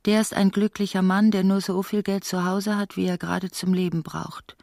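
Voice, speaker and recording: A woman narrating a literary radio play in a measured, articulate way, voicing its long speeches. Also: mid-range voice, little pitch movement, creaky, darkish tone, no room sound, clean studio sound, close to an everyday voice. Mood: measured narration.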